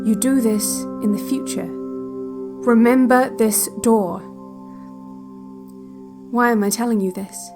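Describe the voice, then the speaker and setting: The guide on an eerie relaxation cassette in an audio drama. She takes her time, measured and hushed, giving calm instructions with a detached, quietly ominous control. Faint music plays behind her. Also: on the high side. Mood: detached, instructive. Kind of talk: slow guided visualization, scripted audio drama.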